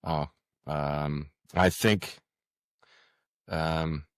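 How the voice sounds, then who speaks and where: A sixty-year-old man with a Canadian accent, answering interview questions casually in a halting, thinking-aloud way. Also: rumbling low, fairly even intonation, clear, resonant tone, no echo, studio quality. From Toronto, Canada. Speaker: podcast guest, author.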